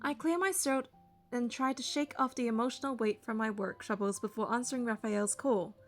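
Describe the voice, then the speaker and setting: A young woman reads a game story aloud, switching between narration and dialogue. Her voice is fairly high and expressive, with faint music behind it. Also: a little fast.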